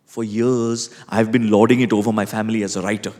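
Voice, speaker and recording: An actor playing a doomed, sleep-cursed playwright, delivering a rueful, desperate stage monologue in a theatrical, deliberate manner. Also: male voice, fairly low pitch, level tone, a little mellow, close to an everyday voice. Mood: resigned, solemn. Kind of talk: dramatic stage monologue reading. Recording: long hall-like reverb.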